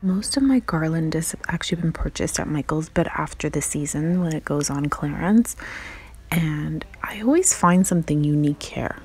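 A woman whispering softly and chattily as she films herself browsing a large craft store on a handheld phone. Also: sits low, moderate pace, husky. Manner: soft whispering, chatty and admiring. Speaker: vlogger. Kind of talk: whispered shop-with-me vlog. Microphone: handheld phone camera.

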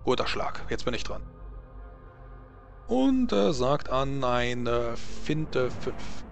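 A man running a tabletop combat as game master, talking casually and a bit haltingly as he narrates. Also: mid-range voice, very animated, gravelly. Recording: music bed behind voice. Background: background music.

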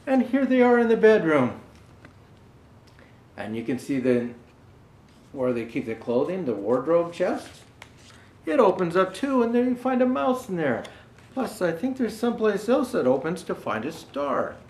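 Casting: older man as children's storyteller, very lively intonation, slightly roomy echo. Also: sits high, measured pace, pauses often. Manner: gentle explanatory asides to young viewers. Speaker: storyteller dressed as Santa Claus. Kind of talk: reading a children's picture book aloud. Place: indoor room with Christmas decor.

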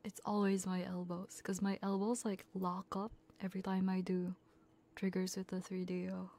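A woman streaming ASMR, whispering and softly chatting to viewers in a soothing, playful way, close to a binaural microphone. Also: medium pitch, monotone, moderate pace. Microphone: close binaural ear microphone.

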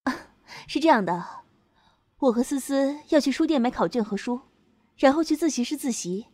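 A woman playing a kind, studious high-school girl in an amateur campus audio drama. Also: animated, normal speed, mellow. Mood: friendly. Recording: echoey, long reverb, music underneath.

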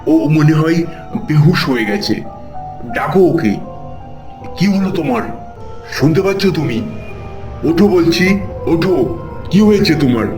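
A man voicing an alarmed household member in an animated ghost story, urgent and insistent. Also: on the high side, a little slow, long pauses, over music.

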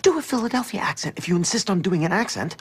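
A man's voice: high-pitched, lively intonation, quick pace, music in the background. An actor playing an exasperated, controlling man in a sitcom, emphatic and condescending. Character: exasperated, controlling man scolding his partner. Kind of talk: sitcom acting.

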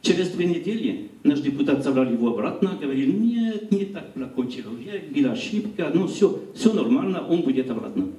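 Middle-aged French-accented man lecturing in a gallery hall, halting and searching for words, mellow tone, picked up from a distance. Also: a little high, sing-song. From France. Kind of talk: public lecture. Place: small gallery hall, indoors. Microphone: handheld mic. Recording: roomy hall recorded from afar.

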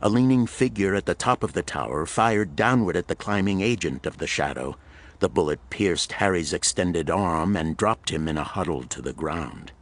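Low-voiced man narrating a pulp thriller. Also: expressive, normal speed. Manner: dramatic, suspenseful storytelling. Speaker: audiobook narrator.

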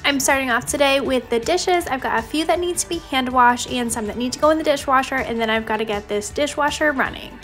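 Female home vlogger, young adult, fairly high voice, casual chatty voice-over over background music. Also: a little fast, few pauses, a bit bright. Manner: chatty, addressing viewers directly. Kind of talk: casual voice-over narration to viewers.

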